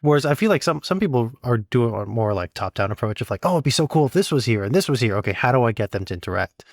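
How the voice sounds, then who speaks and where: A man's voice: mid-range voice, big pitch swings, fast, no room sound, studio quality. A man acting as interviewer in a casual conversation, reflecting and summing up with rhetorical questions.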